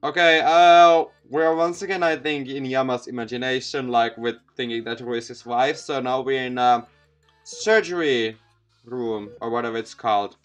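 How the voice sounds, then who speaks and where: A man's voice: medium pitch, moderate pace, a bit bright, smooth. A man reading a visual novel aloud as a let's player, stumbling over words and adding casual asides over background music. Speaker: video game let's player.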